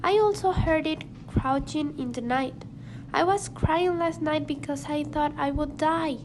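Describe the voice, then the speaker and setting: Worried farmer lady, played by a woman: very high voice, slow delivery, amateur radio play, noisy recording. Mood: anxious pleading. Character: worried farmer lady frightened for her killed goats. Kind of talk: amateur radio drama acting.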